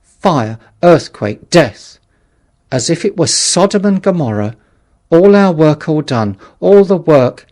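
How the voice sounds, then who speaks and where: A man's voice: on the high side, sing-song, slow pace, darkish tone, pitched above the performer's own voice. A man voicing a distraught, half-mad curate who wails and preaches doom in an audiobook story. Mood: despairing. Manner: frantic, wailing, biblical preaching.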